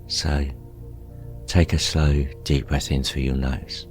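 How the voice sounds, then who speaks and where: Male meditation guide, extremely low voice, calm and gentle delivery over background music. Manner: calm, gentle, unhurried with pauses. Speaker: meditation guide, show host.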